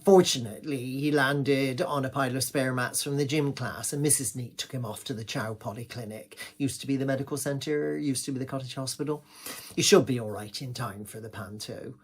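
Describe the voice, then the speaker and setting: A man's voice: mid-range voice, steady tone, brisk, husky. English guy doing a comedy monologue, rambling and indignant like the village gossip. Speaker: comic monologue performer. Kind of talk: gossipy comic storytelling monologue.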